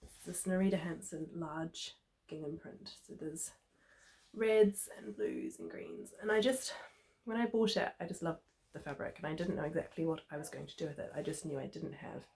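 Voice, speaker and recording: Slightly hoarse New Zealand woman, lowish voice. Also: normal speed, a little mellow. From New Zealand, Christchurch. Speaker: sewing vlogger. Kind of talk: casual sewing tutorial chat.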